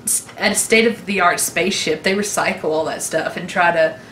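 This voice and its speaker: A woman's voice: fairly low pitch, moderate pace, fairly bright tone. A woman co-hosting a casual, unscripted chat in an informal, jokey, conversational way. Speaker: podcast co-host. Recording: echoey room, background noise.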